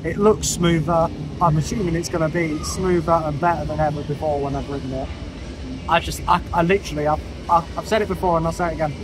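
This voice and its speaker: Excitable high-voiced lad. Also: male voice, fast talker, noisy background. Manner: gushing, excitable, stumbling over words. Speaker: theme park vlogger. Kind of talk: casual vlog chat. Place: outdoors at a theme park.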